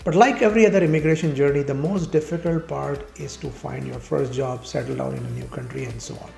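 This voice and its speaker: A man hosting a podcast interview, asking lively, quick questions with fillers. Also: mid-range voice, creaky. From Pakistan. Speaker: podcast host. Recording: echoey room with faint music.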